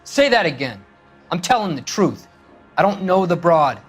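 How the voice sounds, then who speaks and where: Surly American small-time crook, music behind. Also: male voice, sits high, sing-song, moderate pace, breathy edge, heavily acted. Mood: defensive. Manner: sullen, slangy, defensive. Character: surly small-time male car thief, defiant and cornered. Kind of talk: 1940s crime drama game acting.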